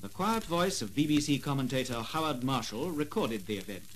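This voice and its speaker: A man giving formal, measured documentary narration in a 1950s style on an old gramophone record. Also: mid-range voice, lively intonation, slight background noise. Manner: formal, measured announcer delivery. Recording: vintage 78rpm gramophone record.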